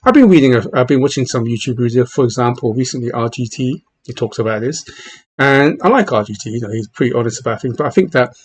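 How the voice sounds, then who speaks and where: Husky Cantonese-born man with English accent. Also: medium pitch, animated, quick pace. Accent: English, with Cantonese traces. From China. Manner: talks in bursts, many restarts and repeated words. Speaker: gaming channel host. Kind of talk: casual opinion commentary.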